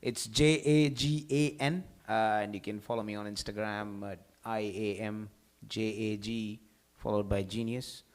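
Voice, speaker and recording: A man's voice: on the low side, steady tone, measured pace. A man chatting casually as a guest on a live stage, giving short, dry replies. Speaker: guest performer. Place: live stage in small venue.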